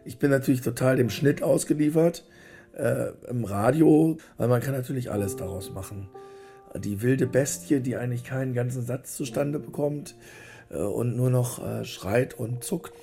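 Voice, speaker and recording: Male documentary voice, medium pitch, spontaneous and hesitant phrasing, prominent music underneath. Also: moderate pace, vocal fry, dark tone. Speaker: documentary radio play participant. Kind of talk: spontaneous personal talk.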